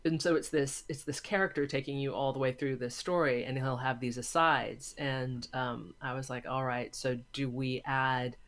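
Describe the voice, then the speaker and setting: A man speaks as an interview guest in a mid-pitched voice. He thinks aloud, building his explanation in short, halting phrases with a reflective, conversational manner. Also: fairly even intonation, fairly bright tone. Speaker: interview guest, audio drama maker and filmmaking teacher.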